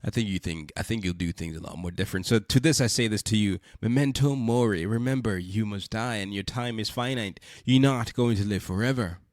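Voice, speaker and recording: A man's voice: fairly low pitch, fairly quick, few pauses, no room sound, studio quality. A man hosting a podcast with a congested, stuffy voice, speaking earnestly and repeating rhetorical questions. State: congested from a cold. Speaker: podcast host. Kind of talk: reflective spoken monologue.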